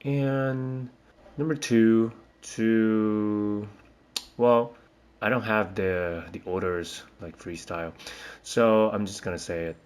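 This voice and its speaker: Man, from Taiwan, young adult, teacher, low-ish voice, slow, hesitant, rambling. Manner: hesitant, rambling, frequent fillers and restarts. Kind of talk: casual spoken reflection.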